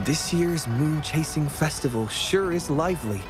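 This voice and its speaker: A man's voice: a little high, normal speed, lightly acted. An actor voicing a considerate, well-mannered young man in a video game, speaking politely and earnestly. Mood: cheerful. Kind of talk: scripted video game voice acting. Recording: music playing behind the voice. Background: game music.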